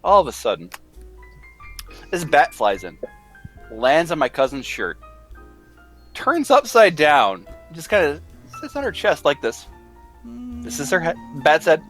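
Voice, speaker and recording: Young guy rambling thru a jokey story, high bright voice, music faintly behind. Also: wildly swooping pitch, measured pace, long pauses. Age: young adult. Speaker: show cast member. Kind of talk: casual chat and anecdote.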